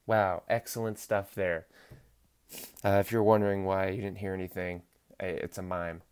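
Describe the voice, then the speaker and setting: Host, male, deep voice, nasal and stuffed up, loose rambling delivery with dry humor. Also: level tone, moderate pace, a little mellow, clear, resonant tone. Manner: rambling, loses his thread and corrects himself mid-sentence. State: stuffy nose from allergies. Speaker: podcast host. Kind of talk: casual solo sports podcast.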